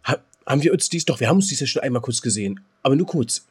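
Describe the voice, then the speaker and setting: A husky-voiced man co-hosts a podcast. He talks quickly and casually, tripping over repeated words and joking dryly. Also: medium pitch, animated. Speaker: podcast co-host. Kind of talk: casual chat.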